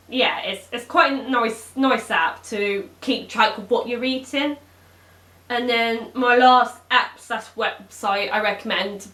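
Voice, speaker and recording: Bright-voiced young woman vlogging. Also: a little high. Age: 20s. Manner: rambling, filled with ums and self-corrections. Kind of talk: casual chat to camera. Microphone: camera, then webcam. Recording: echoey room.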